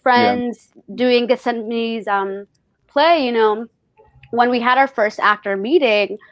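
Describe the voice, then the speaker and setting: A woman's voice: a little high, fairly even intonation, slow pace, no room sound, rough recording. A woman actor on a panel, reflecting aloud and searching for her words as she goes.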